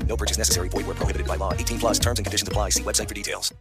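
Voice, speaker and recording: A man's voice: on the low side, fairly even intonation, rapid-fire. A man reading an upbeat, scripted advertisement voice-over as the announcer. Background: loud music.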